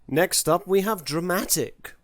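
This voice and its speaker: A man's voice: high voice, unhurried. A man hosting a review video, giving casual commentary in a showman-like manner with formal addresses to the audience.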